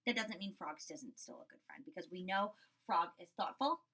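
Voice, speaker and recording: A woman teacher reading a story aloud to children and commenting on it, expressive, often checking in with her listeners. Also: lowish voice, normal speed. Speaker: school teacher.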